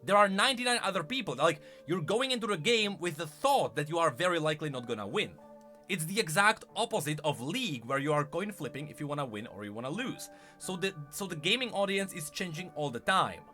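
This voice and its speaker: A man with a bright, high voice talks casually to his livestream chat as a gaming commentator. His pitch swings in a lively way, and he is emphatic, often repeating a phrase to drive it home. Music plays faintly behind him. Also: brisk. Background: background music.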